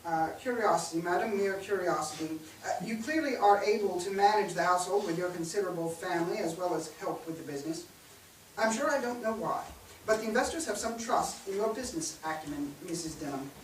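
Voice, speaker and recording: A man's voice: high-pitched, brisk, lightly acted. A man playing a formal, cautious, experienced banker in a staged play reading, with a hesitant manner. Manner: formal, hesitant with many uhs. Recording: echoey stage, distant mic, background hiss.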